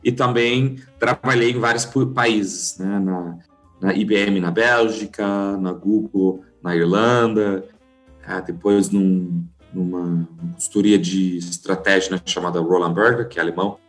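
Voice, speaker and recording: German man, lowish voice, halting speech. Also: fairly even intonation, faint music behind. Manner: hesitant, many restarts and fillers. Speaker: guest. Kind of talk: conversational interview.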